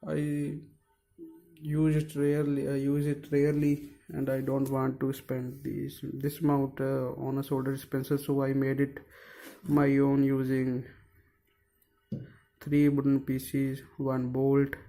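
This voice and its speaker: Slow, halting man. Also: mid-range voice, completely flat delivery, creaky, mellow. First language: Punjabi. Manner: hesitant, searching for words, many fillers. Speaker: DIY maker. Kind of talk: casual how-to narration.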